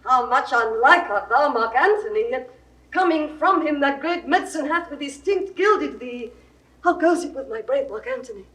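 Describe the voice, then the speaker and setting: A high-pitched, regal Egyptian queen, lovestruck and volatile. She declaims classical verse with grand theatrical passion, as in a 1960s recorded stage drama. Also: expressive, moderate pace, a bit bright, low-quality recording. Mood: eager, lovesick. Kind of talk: 1960s classical Shakespeare audio drama acting.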